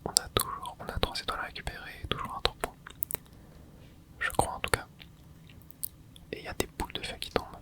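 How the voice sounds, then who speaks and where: A man in his twenties, whispering softly as he comments on his gameplay for viewers. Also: a little fast, pauses often. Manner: soft whispering, with excited asides to viewers. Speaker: gaming ASMR creator.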